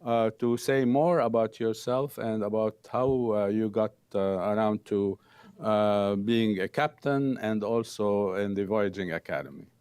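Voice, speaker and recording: A man hosting a studio interview program, speaking in halting, hesitant phrases. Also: fairly low pitch, a little mellow, smooth, no room sound. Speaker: talk show host.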